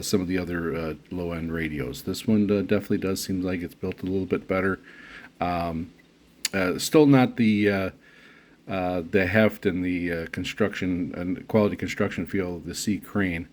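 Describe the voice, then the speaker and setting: A man giving unscripted unboxing commentary as a product reviewer, speaking haltingly with frequent pauses mid-phrase. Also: sits low, darkish tone.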